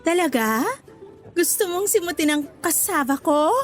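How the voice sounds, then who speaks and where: High-pitched flirty, touchy cassava vendor. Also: female voice, lively intonation, unhurried, faint music behind. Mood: playful, flirty. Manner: playful banter turning to indignant huffs. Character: flirty, easily jealous female cassava cake vendor, teasing and touchy. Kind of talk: Tagalog radio drama acting.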